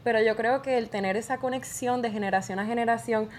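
Young Puerto Rican woman, earnest interviewee, higher voice, faint hiss behind. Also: steady tone, fast talker. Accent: Puerto Rican Spanish. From Puerto Rico. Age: young adult. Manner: earnest, many affirming interjections. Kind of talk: conversational interview answers.

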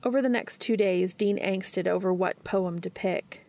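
A woman's voice: mid-range voice, normal speed, a little mellow, faint music behind. A woman reading a story aloud as scripted narration. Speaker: fan fiction reader.

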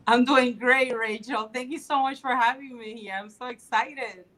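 A woman's voice: a little high, steady tone, normal speed. Eager woman in her fifties being interviewed. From Dominican Republic. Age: 50s. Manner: warm, eager, with frequent fillers. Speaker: political candidate. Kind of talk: interview answers.

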